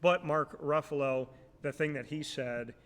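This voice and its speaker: A man from New York hosting a talk show, telling lively, jokey stories in casual interview chat. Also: fairly high pitch, unhurried, big-room sound.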